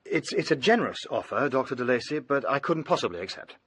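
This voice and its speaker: An actor playing an anxious, earnest young nephew with a well-bred English accent in a radio drama. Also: male voice, mid-range voice, expressive, quick pace, a bit bright, a little hiss or hum, close to an everyday voice. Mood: impatient, concerned.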